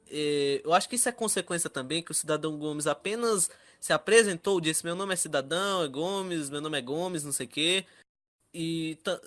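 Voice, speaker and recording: Bright, higher-voiced Brazilian man. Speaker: panelist on a fan roundtable. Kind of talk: casual discussion.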